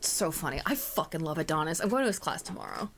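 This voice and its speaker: A woman's voice: low-pitched, lively intonation, fast talker, fairly bright tone, a little hiss or hum. Giggly, swearing young woman streamer. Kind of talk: casual chat.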